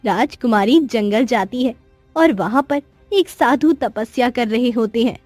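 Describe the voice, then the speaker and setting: A woman narrates a children's story in a high voice. The scripted delivery is recorded very dry, with faint music behind it. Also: animated, measured pace, darkish tone, no room sound.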